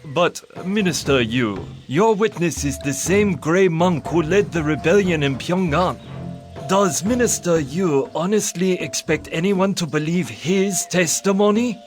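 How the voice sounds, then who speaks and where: A sceptical rival minister of old Korea, fairly high in pitch. He is haughty and formal, pressing his points with challenge in a game cutscene. Music is clearly heard behind. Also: lively intonation, measured pace. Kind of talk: scripted historical game cutscene acting. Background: orchestral game music.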